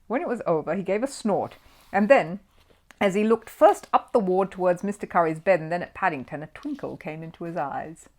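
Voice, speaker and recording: A woman narrates a children's story in an expressive storytelling style. Her voice sits a little low, and its pitch rises and falls in a lively way. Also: a little fast.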